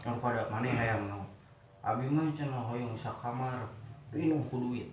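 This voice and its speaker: A man's voice: medium pitch, normal speed, a little hiss or hum. A man with a Sundanese accent telling comic stories in casual chat, full of acted exclamations and repetitions, recorded in an echoey room. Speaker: joke teller. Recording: echoey room with music behind.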